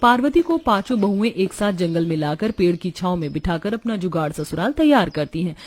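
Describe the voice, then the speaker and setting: A woman's voice: on the low side, expressive, fairly quick. A woman narrator reading a scripted cartoon story.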